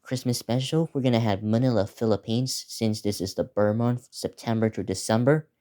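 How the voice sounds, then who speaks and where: A teenage male video creator giving a casual, unscripted preview, talking in a halting, repetitive way as he lists things. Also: fairly low pitch, warm tone. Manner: halting, repetitive, listing place names.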